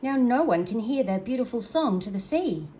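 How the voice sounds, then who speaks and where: A woman's voice: fairly high pitch, expressive, normal speed, mellow, a little hiss or hum, pitched above the performer's own voice, close to an everyday voice. A woman voicing a small, earnest male seal from a storybook, timid but brave, with a pleading manner. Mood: pleading, reasoning.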